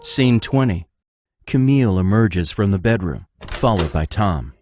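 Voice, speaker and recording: Low-voiced male narrator, very noisy recording. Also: lively intonation, a little slow. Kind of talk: scripted narration. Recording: loud background noise, clicks.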